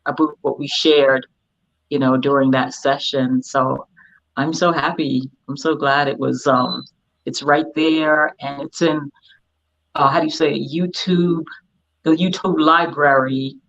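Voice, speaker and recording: A woman in her fifties from Barbados talks reflectively as an interview guest, measured and searching for her words. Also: sits low, steady tone, fairly bright tone, dull audio. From Barbados, moved to the United States at ten. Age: 50s. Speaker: guest priestess. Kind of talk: casual reflective interview talk.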